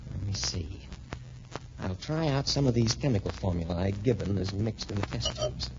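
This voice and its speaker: An actor playing an earnest, clever young cadet hero, deliberate and dramatic, in a 1940s radio drama. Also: male voice, lowish voice, animated, close to an everyday voice. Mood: hushed, intent. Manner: deliberate, muttering to himself, dramatic. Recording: muffled, noisy 1940s radio recording.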